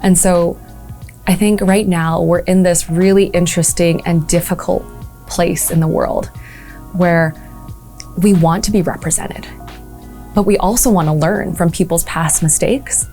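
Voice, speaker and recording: A woman's voice: on the low side, fairly even intonation, a little slow, warm tone, faint music behind. A woman from Malaysia talking earnestly about her work supporting entrepreneurs, with frequent ums and uhs. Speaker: entrepreneurship program leader.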